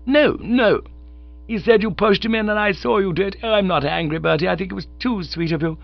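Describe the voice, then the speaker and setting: A man's voice: fairly quick, vocal fry, faint music behind. A man reading aloud while voicing a bossy, hearty young woman who patronisingly takes charge of people. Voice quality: booming laugh, managing, patronising. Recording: dull lo-fi recording.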